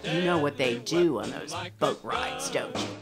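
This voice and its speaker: Disapproving mother of a grown son, played by a woman: scolding, insinuating, drawn-out delivery, music bed. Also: low-pitched, very animated, full character voice. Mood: scolding, suspicious. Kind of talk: period audio drama acting.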